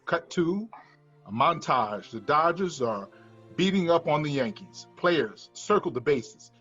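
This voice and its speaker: A man's voice: on the high side, animated, measured pace, bright, forceful tone, slightly rough. A man reading screenplay stage directions as scripted narration. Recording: dull lo-fi sound, music behind.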